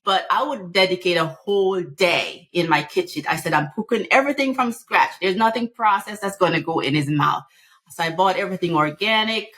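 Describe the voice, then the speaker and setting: Earnest Jamaican woman, low-ish voice. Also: brisk, fairly bright tone. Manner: earnest, many restarts and repeated words. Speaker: physician guest. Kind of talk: podcast interview.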